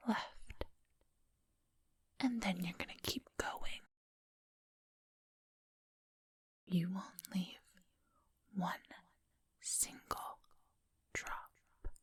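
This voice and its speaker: Woman acting out a slow, whispering, menacing vampire woman. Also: lowish voice, dry recording, exaggerated voice. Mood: cold, commanding. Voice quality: breathy whisper. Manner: slow, condescending, coaxing, commanding. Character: menacing female vampire, coolly hypnotic and controlling. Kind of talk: ASMR roleplay, whispered dramatic acting. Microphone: close, dry microphone.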